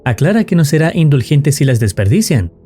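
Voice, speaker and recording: A man's voice: on the high side, sing-song, a little fast, mellow, breathy edge, soft background music. A man reading scripted narration in the role of a storyteller explaining a tale.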